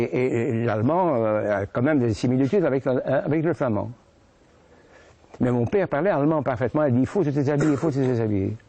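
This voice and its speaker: A man in his eighties from Brussels with a Belgian French accent, recounting his own memories as a witness, halting and often repeating words as he searches for them. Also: mid-range voice, warm tone. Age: 80s. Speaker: witness giving testimony. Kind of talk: first-person spoken recollection.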